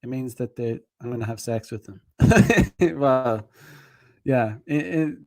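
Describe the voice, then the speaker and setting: A man's voice: medium pitch, slow pace, no room sound. A man giving dating advice on a casual stream, rambling with lots of fillers and frequent laughs. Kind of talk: casual rambling livestream talk.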